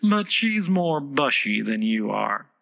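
A man voicing a haughty, bossy talking tiger lily in a breathy, hoarse whisper, with slow, imperious delivery. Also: medium pitch, sing-song, fairly bright tone, highs cut off, heavily acted. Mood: scornful.

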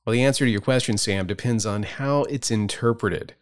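A man in his fifties, a pastor, answering listener questions in a measured, explanatory way with light humor. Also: medium pitch, clean tone. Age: 50s.

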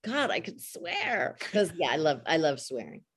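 A woman from Chicago with a lowish voice telling stories on a podcast. Her pitch swings widely as she acts out what people said, and she swears freely and casually. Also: huge pitch swings, measured pace, gravelly. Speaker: voice actor guest. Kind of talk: casual storytelling interview.

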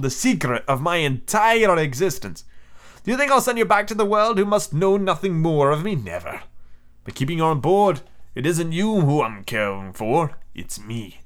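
A cold, commanding middle-aged ship captain, as a man reading aloud puts him on. He speaks in a formal, unbending way, sitting higher than the reader's own voice. His words come measured and distant. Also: fairly bright tone.

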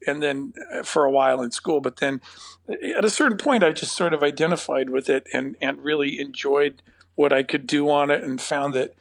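A man in his fifties from Northern California, a saxophonist and podcast guest, answering in a thoughtful, halting way. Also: a little high, vocal fry, no room sound. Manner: thoughtful, halting, many fillers and restarts. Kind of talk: conversational interview.

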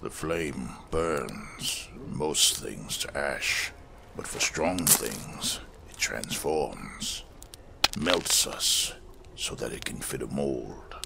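An actor playing a weathered, solemn smith and former fire preacher in a fantasy audio drama, speaking in a gravelly, measured, reflective way. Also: male voice, rumbling low, expressive, background noise, full character voice. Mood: wistful, solemn.

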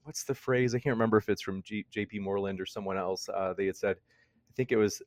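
A man's voice: fairly low pitch, fairly even intonation, fast talker, dry recording, studio quality. A man in his mid-thirties speaking as an interview guest in a conversational, reflective way, thinking aloud. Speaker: interview guest, debate channel host. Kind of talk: conversational personal testimony.

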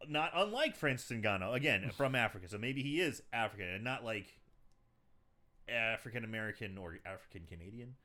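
A man co-hosting a podcast, joking in casual chat about a movie, swearing freely with animated outbursts. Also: fairly low pitch, brisk. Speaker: podcast co-host.

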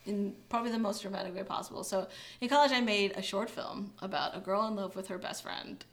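A young woman speaking as an interview guest in a relaxed, chatty way, full of "like" and quick laughs. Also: medium pitch. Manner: chatty, many fillers, laughs when uncomfortable. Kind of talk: casual interview chat.

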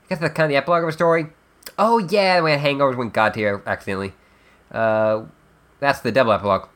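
A man voicing a chatty, flippant teenage girl in a webcomic dub, with wry, drawn-out emphasis. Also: mid-range voice, a bit bright, lightly acted. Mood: wry, playful. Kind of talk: character dub reading of a webcomic.

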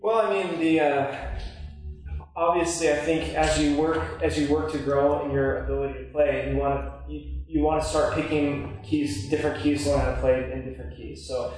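A man's voice: fairly high pitch, little pitch movement, moderate pace, a little mellow. Man, workshop leader, hesitant, echoey hall, distant mic. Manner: hesitant, many fillers. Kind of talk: workshop discussion. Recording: echoey room, mic far off, music behind.

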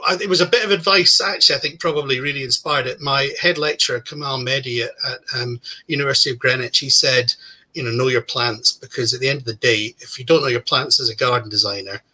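A man in his forties with a very bright, forceful voice talks casually in an interview. He is relaxed and self-deprecating, rambling along with easy fillers. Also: medium pitch, fast talker, slightly rough, rough recording. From Scotland. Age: 40s. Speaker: podcast guest, plantsman.

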